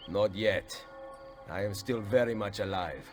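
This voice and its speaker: An actor playing a stoic, courteous Italian assassin in a video game, speaking with an Italian accent. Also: male voice, fairly low pitch, unhurried, soft background music, natural voice. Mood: firm. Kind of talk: video game cutscene acting.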